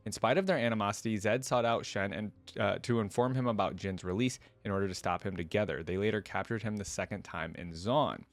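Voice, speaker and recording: A man's voice: lowish voice, a little fast, hardly any pauses. A man hosting a reaction video, reading aloud and adding casual, informal asides to viewers.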